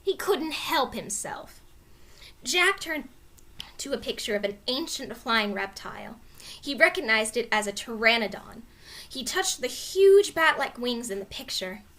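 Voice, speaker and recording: Female storybook reader: expressive narration, very lively pitch movement, bright tone. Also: a little slow.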